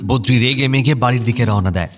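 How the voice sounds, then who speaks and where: A man's voice: medium pitch, fast. A man narrating an audio drama from a script, describing scenes and actions. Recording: clear music bed behind voice.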